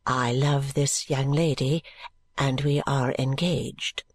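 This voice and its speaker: A reader voicing a meek, dutiful young man in an audiobook, pleading earnestly and submissively in a hushed way. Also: mid-range voice, measured pace, slightly rough, dry recording. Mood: submissive, anxious. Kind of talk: audiobook character voice.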